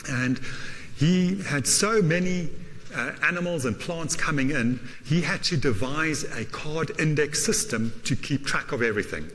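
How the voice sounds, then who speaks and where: A man whose first language is German gives a university lecture, halting often with fillers and adding self-deprecating asides. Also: a little high, fairly bright tone, a little hiss or hum. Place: lecture hall. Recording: lecture hall reverb.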